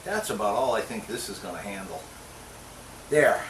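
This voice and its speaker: A man's voice: fairly low pitch, lively intonation, normal speed. Jokey middle-aged man cooking in a roomy kitchen. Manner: jokey, chatty, talks to himself while cooking. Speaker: cooking show host. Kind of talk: casual cooking demonstration. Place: home kitchen. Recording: roomy kitchen sound from a distant mic, clear background noise.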